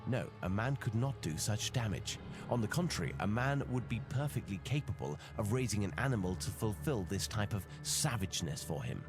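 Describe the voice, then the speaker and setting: Skeptical detective, deep-leaning voice, varied melody, measured and analytical, game cutscene. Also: male voice, animated, music in the background. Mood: analytical, assured. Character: composed, rational male detective, skeptical of superstition. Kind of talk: video game cutscene voice acting.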